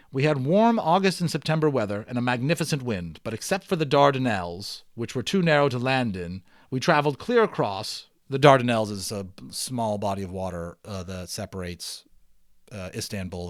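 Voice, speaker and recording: A man's voice: medium pitch, no echo, clean studio sound. Man reading a children's novel aloud. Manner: expressive reading, dropping into chatty offhand commentary. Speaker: amateur audiobook reader. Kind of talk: dramatic read-aloud of a children's novel with casual asides.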